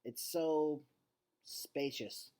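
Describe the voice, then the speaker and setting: A man's voice: fairly high pitch, extremely slow, warm tone. A man voicing a grumbling, exasperated dog from a storybook, with a complaining manner.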